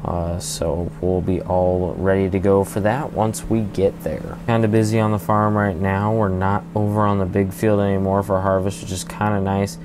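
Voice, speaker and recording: A man with a notably low voice talks casually over a farming game he's playing, walking through each task as he goes. He rambles a bit and often pauses on ums and uhs. A faint hiss sits under the voice. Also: level tone. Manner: easygoing, rambling, narrates his actions with many fillers. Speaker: gaming channel host. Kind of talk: casual gameplay commentary.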